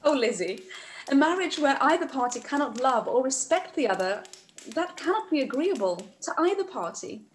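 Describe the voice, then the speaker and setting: A young woman playing a sweet, earnest gentlewoman in a period drama, speaking gently. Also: high-pitched, big pitch swings, moderate pace, pauses often, slight background noise, poor audio. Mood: tender, earnest. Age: young adult. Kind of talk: play read-through, period drama. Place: indoor room, over video call.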